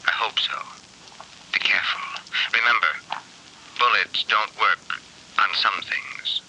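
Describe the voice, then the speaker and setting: A sly, gloating artist talks with sardonic relish. His tone is strikingly bright and sharp against a low pitch. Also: steady tone, unhurried, slight background noise, low-quality recording. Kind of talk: 1940s radio acting.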